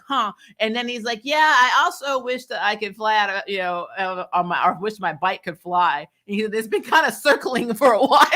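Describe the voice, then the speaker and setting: A woman hosting a casual recap show, blunt and opinionated, retelling scenes in an animated, chatty way with some mild swearing. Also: medium pitch. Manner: animated retelling, acting out dialogue, prone to chuckling; blunt, opinionated, mildly profane. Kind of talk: casual episode recap and commentary.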